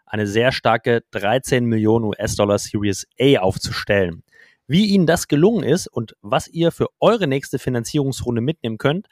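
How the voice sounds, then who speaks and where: A man's voice: medium pitch, no room sound. A man hosting a business podcast, upbeat and peppering his German with English startup terms, talking in a podcast studio. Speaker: podcast host. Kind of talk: casual interview with a scripted intro.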